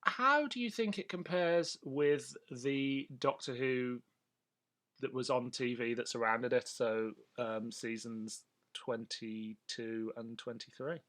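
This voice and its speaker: Bright-voiced man chatting casually. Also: mid-range voice, slow. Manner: sweary, jokey, informal. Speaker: podcast host. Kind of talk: casual chat, review discussion.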